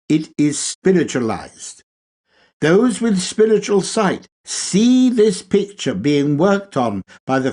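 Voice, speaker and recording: A man reading a spiritual lecture aloud in a deliberate, measured way, with emphatic pauses. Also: fairly high pitch, a little mellow. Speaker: reader.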